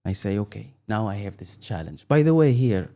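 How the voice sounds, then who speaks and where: Earnest, halting man from Tunisia. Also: on the low side, big pitch swings, warm tone. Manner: emphatic, repeats "believe me", halting restarts. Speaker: guest, content creator. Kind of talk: earnest interview conversation.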